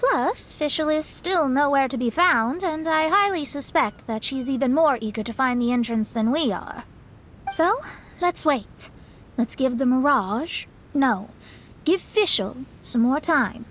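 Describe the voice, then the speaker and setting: Poised, high-voiced young game heroine. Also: female voice, expressive, a little slow, a bit bright, slight background noise. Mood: composed, assured. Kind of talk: video game character dialogue. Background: music.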